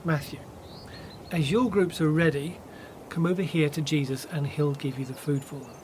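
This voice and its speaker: An actor playing a brisk, organizing, trusting disciple in a Bible radio drama, speaking in an insistent manner. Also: male voice, a little high, fairly even intonation, darkish tone, slight background noise, close to an everyday voice.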